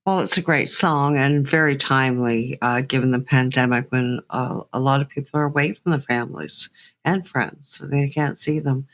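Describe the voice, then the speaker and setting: A woman's voice: a bass voice, steady tone, normal speed. A woman hosting an interview show in a casual, conversational style.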